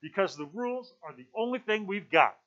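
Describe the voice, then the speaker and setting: Boy leader for storybook adult male reader: high, lively pitch, earnest, wavering, rule-bound. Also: muffled recording. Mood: urgent, indignant. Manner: halting, self-questioning, insistent about rules.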